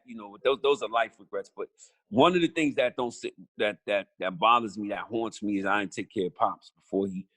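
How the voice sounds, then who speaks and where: A man's voice: fairly low pitch, no room sound. A middle-aged man, a podcast guest talking bluntly and with profanity, restarting his sentences often. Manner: blunt, profane, repeats himself when starting a thought; quiet, reflective when talking about regrets. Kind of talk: casual conversation.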